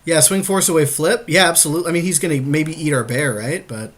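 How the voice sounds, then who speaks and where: A man's voice: a little high, quick pace, a bit bright. A man co-hosting a podcast, chatting casually and agreeably about strategy in a card game he is helping to play. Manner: agreeable, conversational.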